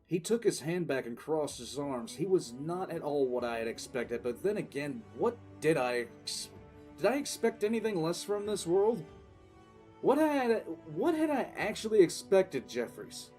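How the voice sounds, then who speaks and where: A man playing a weary, cool-headed military commissar, recounting his past in dramatic, deliberate first-person narration over faint music. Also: a little high, sing-song, darkish tone. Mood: weary, bemused. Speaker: narrator and lead voice actor. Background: faint background music.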